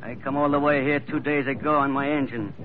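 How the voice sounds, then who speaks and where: An actor playing a ruthless, scheming pearl poacher in 1940s radio acting style, talking low and menacing. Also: male voice, fairly even intonation, brisk, lightly acted. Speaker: pearler Labrado. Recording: dull old radio broadcast, faint hiss.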